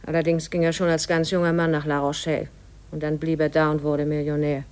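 A woman's voice: deep voice, flat delivery, a little fast, clean tone, close to an everyday voice. An actress playing a blunt, resentful mother of a large family in a 1950s German radio drama. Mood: blunt, matter-of-fact. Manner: blunt, resentful, matter-of-fact. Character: embittered, blunt mother of seven, poor widowed-sounding cousin.

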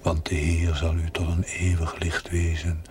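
A man playing a solemn, all-knowing spirit voice in a breathy, measured whisper. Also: deep voice, completely flat delivery, darkish tone, a little hiss or hum. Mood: solemn, wry.

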